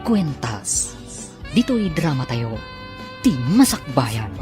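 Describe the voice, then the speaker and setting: A woman narrates and announces a radio drama from a script. Her voice is very low with lively rises and falls, over clear music. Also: takes it slowly.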